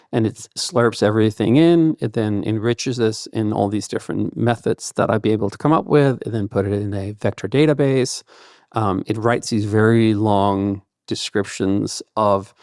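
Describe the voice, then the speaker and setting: Low gravelly man, Danish native. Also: a little mellow, no echo, clean studio sound. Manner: loose and thoughtful, with many fillers like "kind of" and "like". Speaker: guest entrepreneur. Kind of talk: conversational podcast interview.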